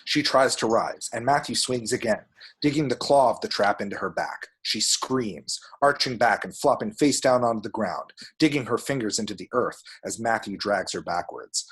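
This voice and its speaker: Male narrator, low and husky, reading stage directions at a script table read. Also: moderate pace, fairly bright tone. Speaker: reader of action descriptions at a table read. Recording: home recording.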